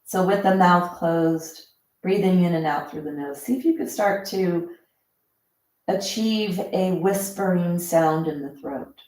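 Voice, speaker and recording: A woman with a low-pitched voice guides a breathing meditation. She speaks very slowly and gently, leaving space between short instructions. The sound is roomy and echoing. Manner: gentle, instructing softly with pauses.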